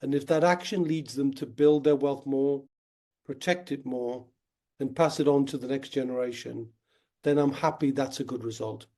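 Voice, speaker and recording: A man being interviewed as a guest, explaining in an earnest, steady way, his voice slightly croaky from a cold. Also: medium pitch, normal speed. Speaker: guest, wealth company founder. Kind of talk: conversational interview.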